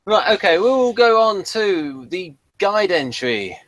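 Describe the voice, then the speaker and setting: Higher-voiced man hosting, dry close sound. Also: sing-song, unhurried, a bit bright, no echo. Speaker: podcast host. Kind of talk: casual review chat, moderating.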